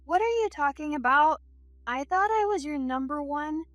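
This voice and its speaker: A woman performs a scheming wife in a dramatic story, her voice high and snappish. She is cold, defiant and indignant, with music underneath. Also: expressive, a little slow, fairly bright tone, no room sound. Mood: shocked, pleading. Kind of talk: scripted dramatic story dialogue.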